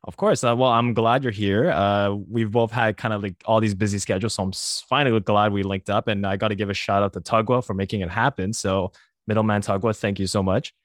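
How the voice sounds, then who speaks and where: Fast-talking chatty male podcast host. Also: lowish voice, fairly even intonation, dry recording, clean studio sound. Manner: chatty, laughs easily, many fillers like "like". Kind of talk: casual interview chat.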